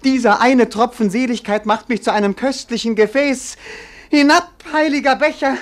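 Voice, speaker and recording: An actor playing a melancholy, romantic young prince in a classic radio play, declaiming lyrically and solemnly. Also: male voice, very high-pitched, fairly even intonation, moderate pace. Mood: ecstatic.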